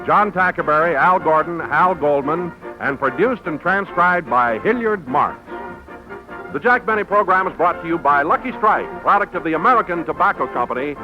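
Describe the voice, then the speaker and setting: A man's voice: fairly high pitch, lively intonation, moderate pace, music in the background. A man reading scripted 1950s radio advertising and announcements. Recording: dull old radio recording.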